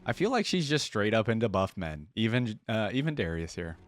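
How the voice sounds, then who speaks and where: A man's voice: on the low side, sing-song, a little fast, soft background music. A man hosting a reaction video, chatting informally to viewers in casual commentary on a close mic. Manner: informal, conversational, addresses viewers. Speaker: host of a reaction channel.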